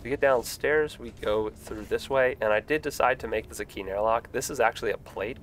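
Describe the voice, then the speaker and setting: A man's voice: medium pitch, fairly quick. A young man with a stuffy voice from a cold, chatting casually with lots of fillers while live-streaming a building game. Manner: relaxed, rambling, frequent fillers.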